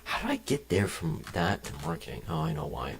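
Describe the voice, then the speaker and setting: A young man talks to his chat from his home desk as he codes. His voice sits low with a gravelly edge, but it swings lively up and down as he rambles from one tangent to the next. He swears offhandedly into a desk mic. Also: fairly quick. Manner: rambling, jumps between tangents, swears casually. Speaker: game developer streaming. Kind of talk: casual live-stream chat while coding.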